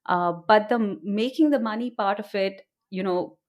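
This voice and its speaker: A woman's voice: mid-range voice, moderate pace. A woman from India with an Indian accent, answering interview questions as an audio producer in a conversational, explanatory way, often adding "you know".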